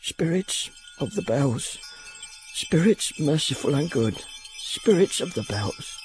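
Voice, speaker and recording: A man's voice: on the high side, big pitch swings, slow pace, music in the background. An actor playing a tender-hearted old working father, emotional and exclamatory, in a radio drama. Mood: fervent, grateful. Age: older. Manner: emotional, exclamatory, repeats phrases.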